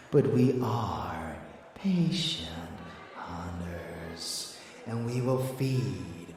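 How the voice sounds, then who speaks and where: Man acting a slow, murmuring vampire lover, roomy echo. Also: deep voice, a little mellow, far from the mic, a little hiss or hum, exaggerated voice. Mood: soothing, menacing. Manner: slow, intimate murmuring, dropping to whispers. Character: seductive, predatory male vampire lover plotting slaughter. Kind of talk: ASMR audio roleplay.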